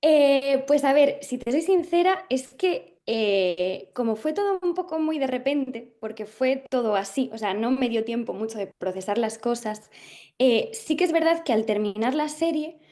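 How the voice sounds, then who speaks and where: A young woman from Galicia, an actress, talking through an interview thoughtfully, with frequent pauses and fillers as she searches for words. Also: sits high, lively intonation, warm tone. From Galicia, Spain. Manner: thoughtful, hesitant, many fillers and restarts. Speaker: actress guest. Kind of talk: casual interview chat.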